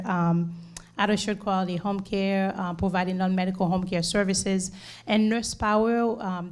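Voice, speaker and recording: Haitian-accented nurse giving a talk. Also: female voice, medium pitch. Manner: earnest, repeats words, many "um"s. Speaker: nurse and home care leader. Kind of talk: community presentation.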